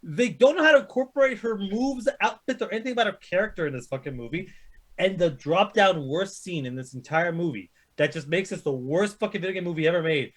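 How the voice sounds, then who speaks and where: A man's voice: sits high, quick pace. A man co-hosting a movie discussion, giving blunt, emphatic, sweary opinions in casual chat. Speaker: co-host of a movie discussion show.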